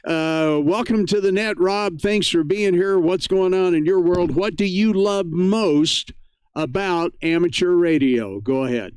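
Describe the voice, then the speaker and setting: An American man hosting a ham radio net in a casual, halting, effusively thankful way. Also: high voice, a little mellow, smooth, no room sound.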